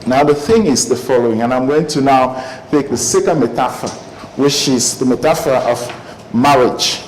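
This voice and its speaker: A man's voice: medium pitch, normal speed, slight background noise. A man giving a talk to a live audience, thoughtful and hesitant, with many fillers and restarts. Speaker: speaker addressing an audience. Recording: echoey room.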